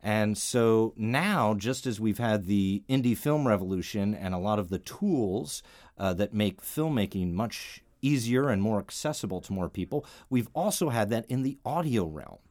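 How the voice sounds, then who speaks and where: A man's voice: on the low side, animated, moderate pace, a little mellow, no echo. A middle-aged American man hosting a radio introduction in a chatty, wry, digressive conversational way. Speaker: artistic director of an audio theater, host.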